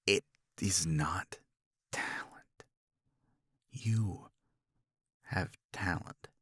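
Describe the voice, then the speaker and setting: A man acting a jealous, frustrated academic in an intimate romance audio roleplay, speaking in a halting, intense manner. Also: deep voice, bright, forceful tone, dry recording, studio quality, exaggerated voice. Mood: frustrated admiration. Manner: halting, intense, slipping into breathy near-whispers. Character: jealous, frustrated male academic confessing to his assistant.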